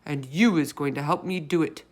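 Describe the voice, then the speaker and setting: A woman voicing a huge, brutish man-eating male giant for a storybook, booming and bullying in mangled grammar. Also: normal speed. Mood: angry, insulting.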